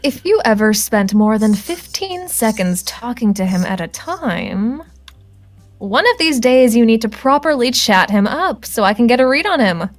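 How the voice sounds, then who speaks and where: Woman voicing a sassy, nosy demon girl, high-pitched. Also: lively intonation, normal speed, faint music behind, lightly acted. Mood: pushy, exasperated. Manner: sassy, Valley Girl leaning. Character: nosy, pushy female demon sharing the heroine's body.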